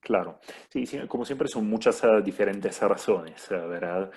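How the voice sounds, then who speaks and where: A man in his thirties from Italy with an Italian accent, a guest in a live online interview, speaking in a measured way with many filler sounds. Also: on the low side, a little mellow, slightly rough.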